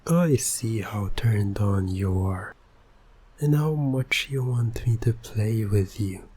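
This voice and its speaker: A man playing a teasing, domineering hypnotist in an ASMR roleplay, breathy and often near a whisper, with a deliberate, commanding manner. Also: lowish voice, a little mellow. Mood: smug teasing. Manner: slow, deliberate, commanding, taunting. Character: teasing, domineering male hypnotist, smug and in control. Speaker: roleplay audio performer.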